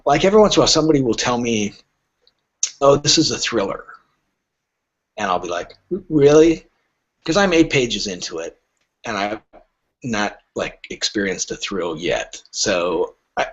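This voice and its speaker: A man's voice: lowish voice, husky, lo-fi sound. A middle-aged man speaking conversationally as an interview guest, wry and joking, with anecdotal answers. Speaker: literary agent, podcast guest.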